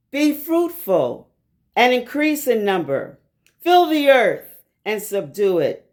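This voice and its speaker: Slow, deliberate woman reading scripture. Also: mid-range voice, darkish tone. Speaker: scripture reader. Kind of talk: measured scripted Bible reading.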